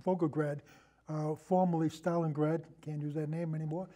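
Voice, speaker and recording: A man in a casual film discussion, telling anecdotes in a halting, rambling way and often repeating his phrases. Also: on the high side, little pitch movement, warm tone. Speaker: podcast guest, film discussion.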